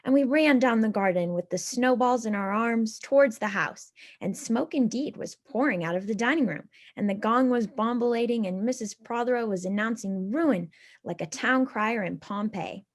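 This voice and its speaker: A woman reading literary prose as a storyteller, with deliberate, dramatic phrasing. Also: on the high side. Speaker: reader in a church readers theatre.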